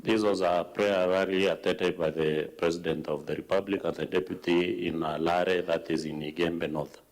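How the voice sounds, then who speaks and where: A man's voice: deep voice, steady tone, moderate pace, rough recording. A Kenyan man testifying as a witness, giving short plain answers. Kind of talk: testimony under questioning.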